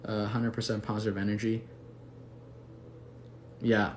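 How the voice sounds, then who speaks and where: Young guy doing a chill live chat, kinda deep voice, slangy and affectionate w viewers, roomy echo. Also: little pitch movement, unhurried. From Mexico City, Mexico, later the US. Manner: laid-back, slangy, affectionate toward viewers. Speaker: livestream host. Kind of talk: casual livestream chat reading viewer comments. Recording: echoey room, faint background noise.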